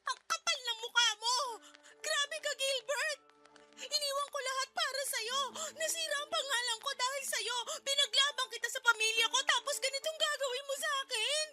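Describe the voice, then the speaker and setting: A woman acts out a desperate, abandoned mother in a radio drama. Her voice is extremely high and piercingly bright, swinging between fury and tearful pleading, with music underneath. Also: normal speed, heavily acted. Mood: furious, hurt. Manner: pleading, emotional outbursts. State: crying. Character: desperate, furious woman abandoned with her young child by her partner. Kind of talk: Tagalog radio drama acting.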